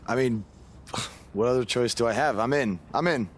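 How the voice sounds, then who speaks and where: A man's voice: mid-range voice, normal speed, lightly acted. An actor playing a weary, sceptical young male wrestler in a video game, with a dry, hesitant, unimpressed manner. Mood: hesitant, resigned. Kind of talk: video game cutscene acting.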